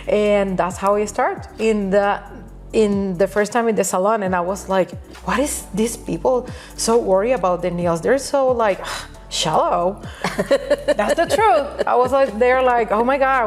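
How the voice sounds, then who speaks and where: Puerto Rican woman, halting and laughing. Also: a little high. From Puerto Rico. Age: middle-aged. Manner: halting, frequent restarts, laughs often. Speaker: guest, nail educator. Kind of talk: casual interview chat.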